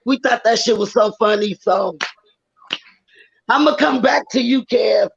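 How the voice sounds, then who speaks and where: A woman in her forties with a raspy, worn voice, telling stories loudly and with animation in a casual live-stream chat. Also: on the high side, unhurried. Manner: loud, animated storytelling. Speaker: guest rapper. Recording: dull lo-fi stream audio.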